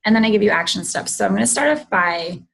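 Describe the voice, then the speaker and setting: A woman's voice: mid-range voice, lively intonation, fast talker, creaky, a bit bright. A woman hosting a casual livestream, chatty, greeting viewers, and losing her voice. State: losing her voice, clearing her throat. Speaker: host of a livestream.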